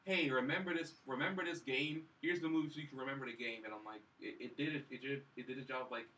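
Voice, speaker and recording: A man's voice: mid-range voice, steady tone, quick pace, bright, forceful tone. A young man co-hosting a casual film review podcast, bantering in a blunt, dismissive way. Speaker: podcast co-host reviewing films. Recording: roomy distant mic, background noise.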